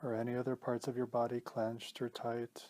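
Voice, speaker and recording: A man leading a guided meditation as a teacher, speaking in a calm, gentle, measured way with pauses. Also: medium pitch.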